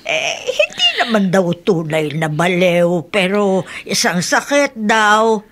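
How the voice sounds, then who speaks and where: A woman's voice: mid-range voice, huge pitch swings, slow, fairly bright tone, slight background noise, heavily acted. An actress playing a kindly, talkative older working woman in a Filipino radio drama, with a folksy, hesitant storytelling manner. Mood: earnest storytelling.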